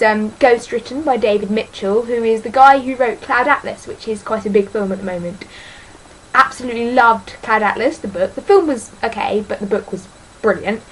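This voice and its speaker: A woman's voice: a little high, slight background noise, low-quality recording. A teenage girl chatting casually about books in a vlog, rambling and hesitant, full of ums and sort-ofs, self-deprecating. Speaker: book vlogger.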